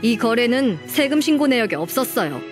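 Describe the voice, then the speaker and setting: A young junior colleague in a video game, earnest and well-mannered. Her voice sits a little high, over faint music. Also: sing-song, brisk. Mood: firm. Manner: polite, deferential. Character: earnest young female junior clerk, grateful and polite.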